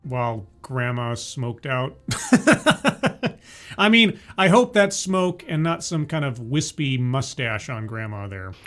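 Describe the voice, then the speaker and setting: A man streaming live, mocking a talk he is watching with deadpan, stammering asides and greeting his chat. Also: medium pitch, slow pace, faint music behind. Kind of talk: sarcastic live commentary.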